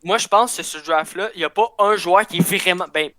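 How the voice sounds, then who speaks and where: A man's voice: high-pitched, quick pace, pushed and bright. A young man with a Québécois French accent, co-hosting a casual sports podcast over a video call, joking and trailing off with fillers. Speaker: podcast co-host.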